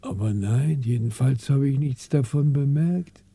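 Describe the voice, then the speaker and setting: An actor playing a chatty, uneasy cemetery keeper in a German radio crime drama, husky, creaky and unhurried. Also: male voice, medium pitch, warm tone, dry recording. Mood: helpful. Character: talkative male cemetery keeper, devoted to the dead, uneasy.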